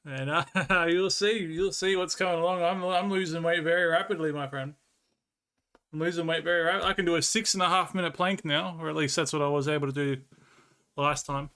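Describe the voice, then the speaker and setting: A man's voice: fairly high pitch, steady tone, brisk, sharp tone, smooth. An Australian man streaming live, rambling casually as he reads and answers his chat and swears freely.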